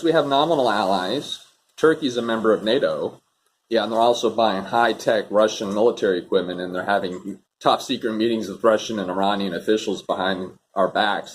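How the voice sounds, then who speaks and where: A man's voice: lowish voice, slight background noise. A man hosting an off-the-cuff political commentary vlog, talking in a rambling, opinionated, casual way.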